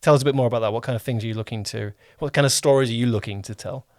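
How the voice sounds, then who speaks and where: A man's voice: mid-range voice, rapid-fire, no echo. Man interviewing casually, dry sound. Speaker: podcast host, producer.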